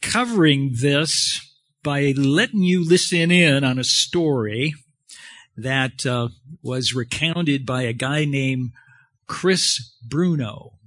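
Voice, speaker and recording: A man preaching a sermon in a halting, conversational way, pausing often. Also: medium pitch, fairly bright tone, no room sound.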